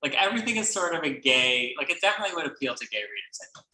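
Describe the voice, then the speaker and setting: A man's voice: fairly high pitch, very animated, very fast, bright, forceful tone. A man moderating a book event, talking loosely with a lot of fillers. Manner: loose, filler-heavy talk. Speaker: moderator, fellow author. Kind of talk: casual conversation at a book event. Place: bookstore event space. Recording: echoey room.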